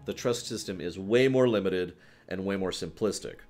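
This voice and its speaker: A man with a fairly low voice hosts a gaming stream over faint music. He talks informally to his chat, wandering from point to point and stressing his views with repeated phrases. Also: normal speed, a little mellow. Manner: rambling, digressive. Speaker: livestream host. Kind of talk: casual gaming commentary to a live chat.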